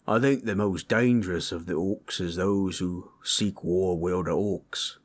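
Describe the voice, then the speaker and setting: Man voicing a deep, husky, slow-talking gentle orc. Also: heavily acted. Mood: grave, earnest. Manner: unhurried, rustic ungrammatical speech. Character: gentle, comforting male orc, rough-spoken old-lore storyteller. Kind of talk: intimate roleplay audio, slow soothing storytelling.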